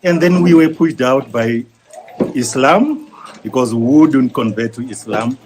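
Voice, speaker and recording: A man answering interview questions about his community's history in a steady, informative way. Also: medium pitch, unhurried, background noise. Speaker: synagogue president.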